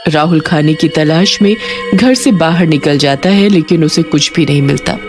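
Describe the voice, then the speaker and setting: A woman's voice: sits low, moderate pace, a little mellow. A woman narrating a scripted cartoon horror story as its storyteller. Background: prominent background music.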